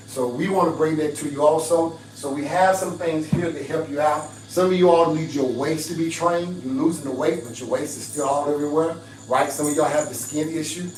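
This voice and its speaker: A raspy-voiced middle-aged man on a livestream, talking straight to his viewers in an emphatic, preachy way. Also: a little high, a little fast, hardly any pauses, distant mic. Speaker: fitness livestreamer. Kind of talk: casual livestream pitch to viewers. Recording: roomy livestream audio from off-mic with faint hum.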